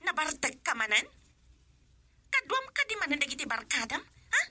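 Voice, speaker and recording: Shrill-pitched, fiery Ilocano wife. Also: female voice, very animated, normal speed, pushed and bright, a little hiss or hum, full character voice. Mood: angry, nagging. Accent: Filipino, Ilocano. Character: hot-tempered, nagging, exasperated young wife. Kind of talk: Ilocano radio drama acting.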